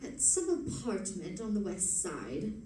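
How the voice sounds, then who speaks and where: A woman playing a poised, affectionate detective's wife in an old-time radio drama, cool and gently dry. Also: medium pitch, huge pitch swings, a little slow. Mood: calm, puzzled. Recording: echoey, distant, noisy stage recording.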